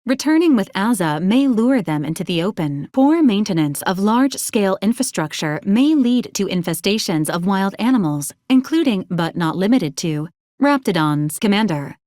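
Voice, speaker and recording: Woman doing a female robot helper from a video game, deadpan n formal, clipped lines, mid pitch, dry close sound. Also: dry recording, natural voice. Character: polite, deadpan female robot assistant unit, dutiful and literal.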